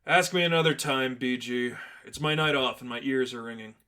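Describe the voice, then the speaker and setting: A man's voice: medium pitch, a little fast, bright, forceful tone, natural voice. Man playing a young vigilante, cocky, wisecracking, playful, teasing, superhero story reading. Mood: easygoing.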